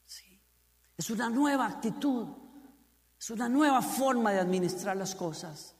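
A high-voiced man with a Costa Rican Spanish accent preaching a sermon. He drives his points home with repeated phrases and asks the congregation direct questions. His voice rings out with a long reverb in a large hall. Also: animated, unhurried. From Costa Rica. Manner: building to fervent emphasis with repeated phrases.

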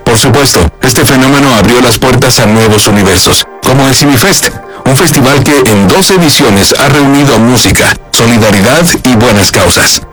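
A man's voice: mid-range voice, normal speed, fairly bright tone, soft background music, low-quality recording. A man narrating a scripted feature report in a polished, upbeat promotional delivery.

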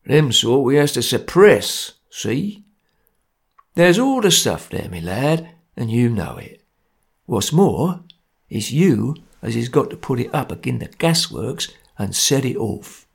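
Man doing a gruff ringleader. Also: medium pitch, big pitch swings, slow pace, heavily acted. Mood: grim. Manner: gruff, severe, matter-of-fact. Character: grim stern male ringleader, working-class.

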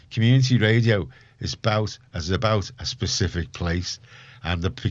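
Man, lowish pitch, emphatic, opinionated, repetitive for emphasis, conversational, muffled sound. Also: dry recording. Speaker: community radio organiser, interview guest. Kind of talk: casual conversational interview. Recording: muffled, dull, dry close recording.

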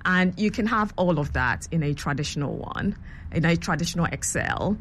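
Low-voiced woman explaining her app. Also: animated, moderate pace, fairly bright tone, a little hiss or hum. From London. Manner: chatty, explanatory, many ums and you-knows. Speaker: app founder, guest. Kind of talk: radio interview answers.

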